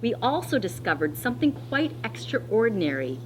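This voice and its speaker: Woman, elementary teacher, very animated intonation, deliberate instructive storybook reading, some background noise. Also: a little high, rough recording. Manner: deliberate, instructive, pausing to explain. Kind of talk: expressive children's read-aloud with explanatory asides.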